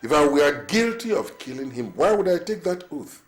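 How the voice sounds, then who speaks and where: A man's voice: a little high, very animated, moderate pace, faint music behind. A man acting a husband who defends himself against accusations, indignant and defensive. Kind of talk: melodramatic film acting.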